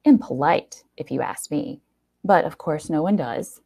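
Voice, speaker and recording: A woman tells a first-person story in a low, mellow voice with plenty of fry. Her reading is expressive and wry, and she leans on pauses for drama. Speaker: reader of a short story.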